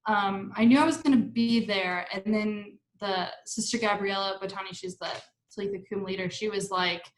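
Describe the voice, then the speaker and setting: A woman's voice: mid-range voice, fairly even intonation, moderate pace, reverberant, rough recording. A young woman from Argentina talks casually in an interview, with lots of likes, restarts and laughs. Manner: chatty, many likes and restarts, laughs often. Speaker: staff writer and interview guest.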